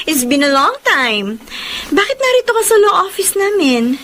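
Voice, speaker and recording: Chatty Filipina friend, radio drama, very high, animated, faint noise. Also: female voice, unhurried, full character voice. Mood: bright, businesslike. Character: chatty, teasing young woman, loyal best friend.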